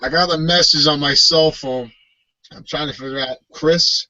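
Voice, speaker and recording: A man's voice: a little high, steady tone, moderate pace, bright, forceful tone, lo-fi sound. A man in his thirties hosting a live video call, friendly and upbeat. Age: 30s. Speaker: host.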